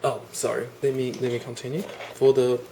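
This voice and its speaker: A man with a cold speaks at a middle pitch, gushing over a toy in an eager, casual way. His voice comes through a camera mic indoors, with a faint hiss underneath. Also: little pitch movement, fairly quick, warm tone. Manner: enthusiastic, gushing, repeats "awesome" and "really". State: has a cold. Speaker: toy reviewer. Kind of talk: casual unboxing review.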